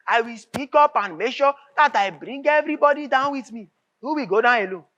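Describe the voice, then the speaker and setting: A corrupt Nigerian senator with a high-pitched voice. He blusters and stammers, repeating himself and tripping over grand words in a flustered, theatrical way. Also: animated, brisk, sharp tone, dull audio, exaggerated voice. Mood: indignant, threatening. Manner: stammering, repetitive, fumbles big words. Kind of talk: stage play acting.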